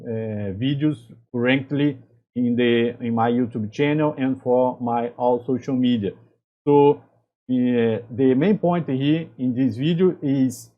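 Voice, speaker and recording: Brazilian guy giving engineering career tips in english, mid pitch, lots of restarts, kinda muffled audio. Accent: Brazilian Portuguese. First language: Portuguese. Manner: halting non-native phrasing with frequent restarts. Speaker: chemical process engineer and channel host. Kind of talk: talking-to-camera advice video.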